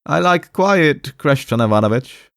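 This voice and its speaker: A man's voice: a little high, animated, unhurried, vocal fry, a bit bright, clear, resonant tone, no echo, studio quality, voice pushed up, exaggerated voice. A man voicing a timid, defensive male clerk in an audiobook, hesitant, repetitive and half-aggrieved, with a strained, raised voice. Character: timid, defensive, rambling male clerk visiting his doctor. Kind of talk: audiobook character voice.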